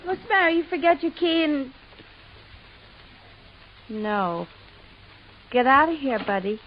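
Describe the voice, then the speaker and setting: A woman's voice: sits high, animated, takes it slowly, slight background noise. A woman playing a tipsy, gullible, slangy gangster's wife in a 1950s radio drama, brash and loose-tongued. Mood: suspicious, brash. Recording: muffled vintage radio recording.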